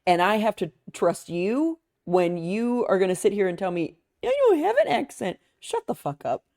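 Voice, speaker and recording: A woman's voice: mid-range voice, big pitch swings, normal speed, a little mellow. A young woman from Chicago telling a story in a casual podcast chat, often laughing, swearing and acting out what people said. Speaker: podcast co-host.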